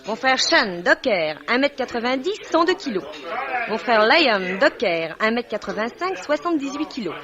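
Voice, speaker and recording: High-voiced, sassy young waitress. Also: female voice, a crowd in the background. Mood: brisk deadpan. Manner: deadpan, quick-witted. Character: brisk, sassy, hot-tempered young Irish waitress. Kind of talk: 1950s French radio comedy-drama acting. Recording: muffled vintage radio, background restaurant bustle.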